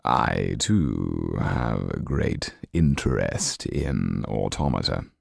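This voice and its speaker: Male reader plays a menacing nobleman: very low, slow, cold, dry close sound. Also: expressive, gravelly, no room sound, full character voice. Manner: slow, icy, contemptuous. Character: sinister cold aristocratic male count.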